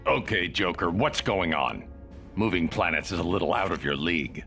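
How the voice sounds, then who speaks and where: A brooding superhero leader for a game cutscene. The voice is low and forceful, terse and grim, with lively turns of emphasis. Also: male voice, normal speed, vocal fry, faint music behind, full character voice. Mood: serious. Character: stern, brooding male superhero detective leader. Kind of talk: animated video game cutscene acting.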